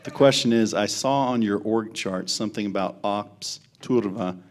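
A man's voice: lowish voice, moderate pace, voice pushed down, lightly acted. A man putting on a mock Finnish accent to read out a question at a panel, heard from the floor. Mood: joking. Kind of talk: reading questions aloud at a panel.

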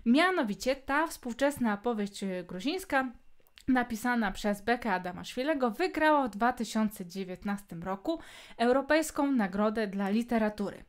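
A woman's voice: on the high side, lively intonation, moderate pace. A Polish woman hosting a book podcast, talking casually with many hesitation fillers. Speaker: podcast host.

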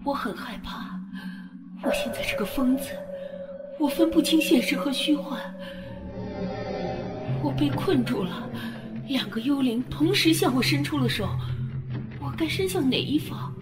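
Woman acting a high-pitched, frightened young woman. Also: lively intonation, a little slow, long pauses. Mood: distraught, fearful. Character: frightened young woman explaining ghostly horrors. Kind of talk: Chinese audio drama acting. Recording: music under the voice. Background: dramatic music.

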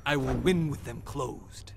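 An actor playing a proud, taunting, confident Shaolin warrior monk in a fighting game. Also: male voice, mid-range voice, a little slow, creaky. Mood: cocky. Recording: music behind the voice.

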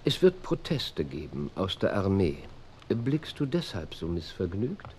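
Radio drama detective: commanding, eccentric, mid-pitched with lively swings, noisy backdrop. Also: male voice, unhurried. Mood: cool, dry. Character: commanding, cool-headed eccentric male detective. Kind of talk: radio play acting.

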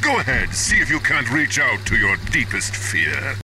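A pompous, swooping mad doctor. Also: male voice, mid-range voice, wildly swooping pitch, normal speed. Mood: condescending, menacing. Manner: pompous, florid, theatrical delivery. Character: mad theatrical ghostly psychiatrist-surgeon, pompous and grandiose. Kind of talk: video game villain acting. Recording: loud music over the voice.

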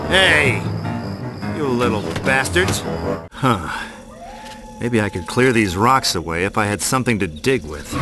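Tough sardonic horror game hero, lowish voice, deadpan, big music behind him. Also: male voice. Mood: irritated. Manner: deadpan, dramatic pauses. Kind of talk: scripted first-person horror game narration.

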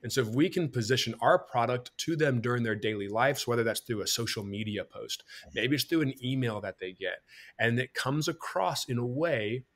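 Guy in his 30s on a video call podcast, teaching style, middle-pitched, talks thru examples. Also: moderate pace, fairly bright tone. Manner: explains step by step, acts out customer thoughts. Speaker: podcast host. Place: bright home room.

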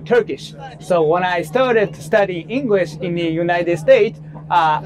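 A Japanese man in his thirties, a film director, answering interview questions outdoors by the sea in English with a Japanese accent, often pausing with fillers and restarting. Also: sits high, slight background noise, low-quality recording. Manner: hesitant, many fillers and restarts. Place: seaside promenade, outdoors.

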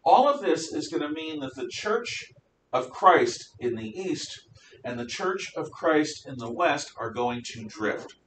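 A man's voice: sing-song, a little slow, a bit bright. An older man with an American accent from the northeastern United States, giving an emphatic classroom lecture as a history teacher. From northeastern United States, around New York City and New England. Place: classroom, indoors. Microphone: distant camera at back of room. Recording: roomy, distant microphone, noticeable hiss and rumble.

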